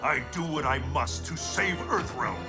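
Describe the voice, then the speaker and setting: Man playing a stern, dramatic thunder god, loud music. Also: a little high, lively intonation, normal speed, natural voice. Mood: domineering. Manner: imperious, formal, dramatic. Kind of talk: video game cutscene acting.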